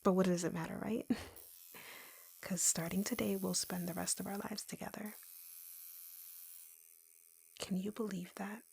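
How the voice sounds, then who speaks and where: A woman playing an obsessively lovesick young tattoo artist in an intimate roleplay audio, whispering gently with sweet, possessive menace. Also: medium pitch, fairly even intonation, a little slow, pauses often. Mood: teasing, coaxing, then dreamy, lovestruck. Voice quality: breathy, whispery, creaky.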